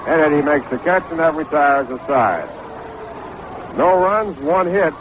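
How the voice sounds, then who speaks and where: American male baseball announcer, slightly high-pitched voice, 1960s radio commentary, light background noise. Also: animated, a little slow. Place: stadium broadcast booth. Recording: noisy 1960s AM radio broadcast.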